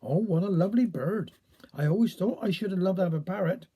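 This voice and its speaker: A woman's voice: fairly low pitch, a little fast, dark tone, slightly rough. A woman storyteller voicing a touchy, nosy woman neighbour with huffy Cornish dialect speech. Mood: admiring.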